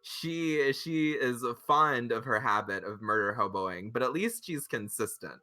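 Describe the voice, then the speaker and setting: A talkative man chatting casually as a player in a tabletop roleplaying game, quick to cut in on others. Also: medium pitch, lively intonation, bright, forceful tone, no echo.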